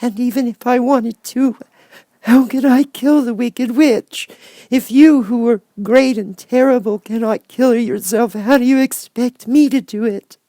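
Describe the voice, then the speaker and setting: A man's voice: extremely high pitch, fairly even intonation, a little slow, mellow, no echo, voice pushed up. A man voicing a small, meek young girl in a storybook, timid and earnest in her pleading. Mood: tearful, despairing.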